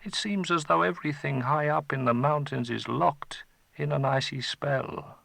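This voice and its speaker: A man putting on a gentle storybook father who muses slowly over a puzzle. The voice is lifted above the reader's usual pitch and sounds bright. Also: vocal fry, dry recording, heavily acted. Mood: puzzled.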